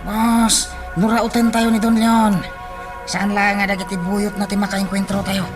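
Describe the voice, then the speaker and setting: A man's voice: high-pitched, level tone, measured pace, far from the mic, soft background music. An actor playing a cautious, deferential gangster henchman in an Ilocano radio drama. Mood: urgent, deferential. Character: gangster henchman, cautious and deferential, urging his boss to wait.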